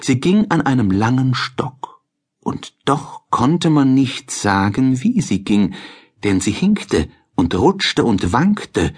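A man reading a children's fairy tale as an audiobook narrator, in a measured, expressive storytelling manner. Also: mid-range voice, breathy edge.

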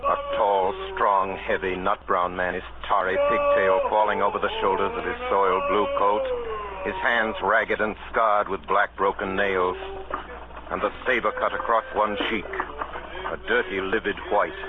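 An actor playing a grown man who solemnly recounts his boyhood adventure as narrator of an old-fashioned radio drama, measured and literary. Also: sits low, level tone, very noisy. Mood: measured, descriptive. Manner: measured, grave, literary storytelling. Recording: tinny old radio broadcast, music behind.

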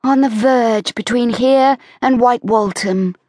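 A woman voicing a glamorous, daring young socialite who talks in a bright, breezy, teasing way. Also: fairly high pitch, takes it slowly, no echo, clean studio sound, voice pushed up.

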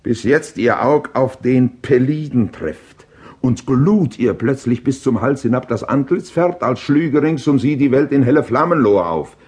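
A man performing a proud Greek king in a classical radio play. He declaims verse in a mid-pitched voice, shaping each phrase deliberately and rhetorically as he reports on war. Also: expressive, darkish tone, natural voice. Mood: impassioned, rhetorical.

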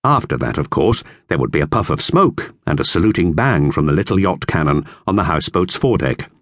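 A man narrating a classic children's novel in measured, expressive storytelling. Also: deep voice, no room sound. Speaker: audiobook narrator.

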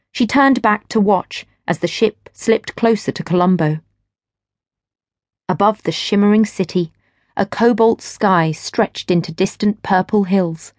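A woman reading literary fiction as an audiobook narrator, in measured, expressive storytelling. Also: mid-range voice, dry recording, studio quality. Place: dry studio.

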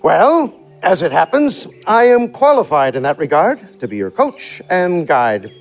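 Wily manservant for verse comedy: knowing, flourishing, higher-pitched male voice, lively swoops. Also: measured pace, faint music behind. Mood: teasing, boastful. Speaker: actor. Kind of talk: theatrical verse comedy performance.

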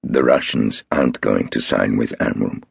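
A ruthless, ailing old tycoon, as a male narrator voices him, talking slowly and coldly. His very deep voice is gravelly and husky, recorded dry and close. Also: dry recording, exaggerated voice.

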